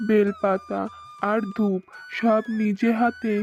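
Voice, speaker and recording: A woman's voice: mid-range voice, little pitch movement, takes it slowly, darkish tone. A woman voicing a poor, devout village farmer and father in a cartoon, earnest and pious. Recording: dull lo-fi sound with faint music.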